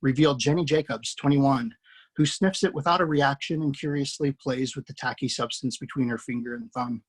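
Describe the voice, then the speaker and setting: A man hosting and narrating a screenplay table read, relaxed and chatty, with many fillers. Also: mid-range voice, fairly quick, breathy edge. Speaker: writer and host.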